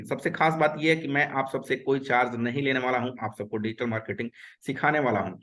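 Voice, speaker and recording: A man's voice: medium pitch, fairly bright tone. A man from Uttar Pradesh teaching an online webinar over a video call, earnest and repetitive, mixing English words into his talk. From Uttar Pradesh, India. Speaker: webinar instructor.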